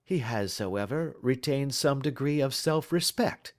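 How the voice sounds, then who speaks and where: Audiobook detective performed by a male reader: self-assured, precise, teasing, pompous, with very animated intonation. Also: mid-range voice, unhurried, pitched above the performer's own voice, natural voice. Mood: analytical, assured. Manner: crisp, lecturing, pompous. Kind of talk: audiobook character dialogue.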